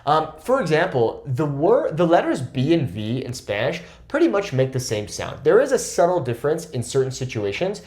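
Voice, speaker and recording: Young male language tutor, a bit high-voiced, animated and chatty, keeps asking "okay?". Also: normal speed, few pauses. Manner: lively, explanatory, often checks in with "okay?". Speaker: Spanish teacher. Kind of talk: casual video lesson.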